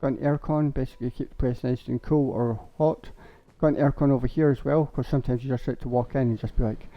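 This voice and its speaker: A young man with a sore, hoarse throat, rambling casually and laughing as he shows off a house in a game. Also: medium pitch, fairly even intonation, fast. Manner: rambling, chatty, laughs a lot, says basically often. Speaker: gaming streamer. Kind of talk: casual Minecraft house tour. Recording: dull lo-fi stream audio.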